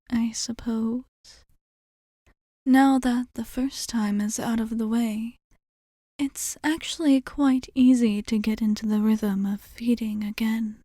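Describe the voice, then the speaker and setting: A woman playing a courtly, teasing vampire bartender in an ASMR roleplay, speaking in a soft, breathy half-whisper close to the microphone. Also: fairly high pitch, no room sound. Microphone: close microphone, dry.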